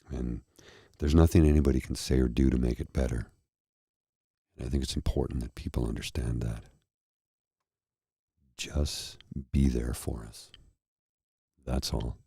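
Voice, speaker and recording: A man with a very deep, dark, husky voice speaks slowly and earnestly, a tired frog in his throat. The sound is dry and close, with no room around it. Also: no echo. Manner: slow, earnest, heartfelt. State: tired and a little froggy-throated. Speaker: host. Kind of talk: candid personal talk to viewers.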